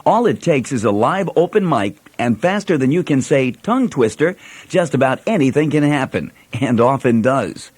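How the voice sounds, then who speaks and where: Man, narrator, scripted introduction, medium pitch. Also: expressive, moderate pace, darkish tone. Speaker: narrator introducing a bloopers collection.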